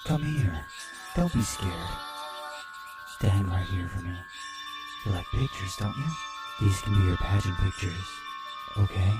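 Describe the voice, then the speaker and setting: An actor playing a creepy adult predator in an audio drama flashback, talking in a hushed, coaxing manner. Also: male voice, deep voice, measured pace, pauses often. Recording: loud music over the voice.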